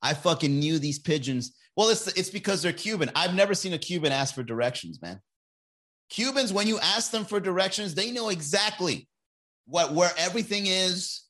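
Bright-voiced man joking on a stream. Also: sits high. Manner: loose, profane, joking. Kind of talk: casual comedic live stream.

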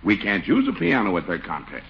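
An actor playing a genial, peacemaking chief with a gravelly voice in old radio comedy style. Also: male voice, on the low side, wildly swooping pitch, a little fast, full character voice. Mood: placating. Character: genial, peacemaking male police or fire chief. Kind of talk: 1940s-50s radio comedy acting. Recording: dull, faintly noisy old radio recording.

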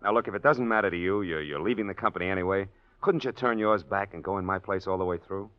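A dry, muffled vintage radio recording. An actor plays an unsuspecting, affable husband who talks fast and coaxingly, eager to please, with the odd hesitation. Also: male voice, deep voice, no room sound, natural voice. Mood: anxious, coaxing. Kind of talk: 1940s radio drama acting. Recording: dull, close old radio recording.

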